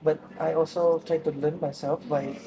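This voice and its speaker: A man's voice: fairly high pitch, little pitch movement, moderate pace, highs cut off. A young man from Malaysia whose first language is Tamil, answering interview questions about the languages he speaks with many fillers and restarts. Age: young adult. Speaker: interviewee, student.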